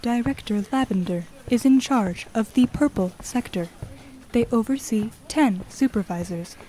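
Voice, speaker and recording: A woman voicing a calm, neutral, helpful artificial guide in an audio drama, formal and informative. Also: a little high, unhurried, close to an everyday voice, poor audio. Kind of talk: audio drama announcing and briefing. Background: music.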